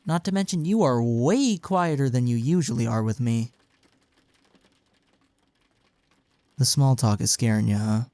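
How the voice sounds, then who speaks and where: A man's voice: medium pitch, brisk, dark tone, dry recording, natural voice. A young man's voice in an intimate audio roleplay, recorded very dry. He is acting as a caring college best friend speaks casually and earnestly, with a playful, teasing streak, as if to one listener. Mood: soft, concerned. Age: young adult.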